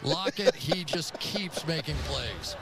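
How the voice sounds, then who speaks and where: A man's voice: a little high, lively intonation, slow pace, a bit bright. A man with an American accent giving conversational football analysis on a game broadcast. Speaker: football color commentator. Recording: game broadcast audio with clear noise.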